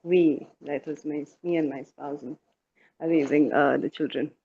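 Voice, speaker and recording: A woman from Dubai speaking as a guest on a mothers' panel, halting, with many fillers and restarts. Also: sits low, fairly even intonation, gravelly, husky, narrow, tinny audio. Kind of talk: casual panel talk.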